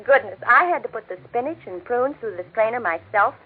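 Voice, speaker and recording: An old-fashioned middle-aged mother from a 1940s radio drama, fussing and chatting in a slightly husky voice. Her pitch moves freely up and down. The recording is thin and tinny, like old radio. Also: expressive, a little fast. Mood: chatty, nostalgic.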